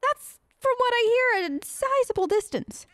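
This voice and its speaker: Girl gamer doing chatty in-character replies, high pitched, rambly and informal, very dry sound. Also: animated, a little slow, a bit bright, dry recording. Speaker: let's play host role-playing her game character. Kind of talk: casual improvised in-character chat.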